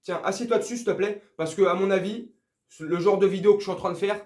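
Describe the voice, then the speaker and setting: High-pitched slangy man in an echoey room. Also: fast talker, a crowd in the background. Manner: crude, slangy, teasing, confrontational. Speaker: football fan video host. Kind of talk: casual ranting commentary to viewers.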